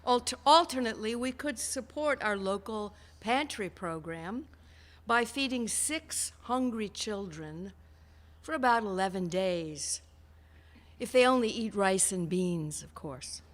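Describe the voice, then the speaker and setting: A woman with a mid-pitched voice gives a report. She goes slowly and deliberately, keeping a deadpan manner. Long reverb from a hall surrounds her. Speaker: treasurer giving donation report. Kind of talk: measured reporting, reading out notes.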